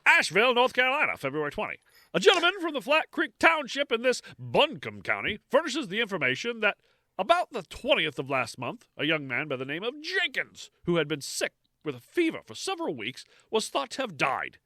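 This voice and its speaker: A man putting on the voice of an old-time radio newscaster, reading a period news report in a brisk, clipped, high announcer style. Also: huge pitch swings, bright, forceful tone, dry recording, heavily acted. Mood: formal.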